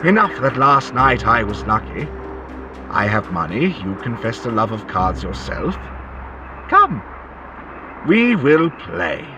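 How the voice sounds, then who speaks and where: A man's voice: medium pitch, very animated, slow, long pauses, over music. A man playing a sinister ghostly gambler in an audio drama, eloquent and coaxing, with theatrical, archaic formal phrasing. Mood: brooding, obsessed.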